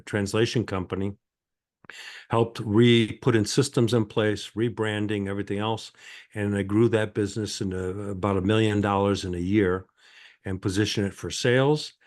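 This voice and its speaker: An older man, answering as a guest in an interview in a halting, conversational way. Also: fairly low pitch. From born in France, grew up in Michigan, United States. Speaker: podcast guest, sales and marketing consultant.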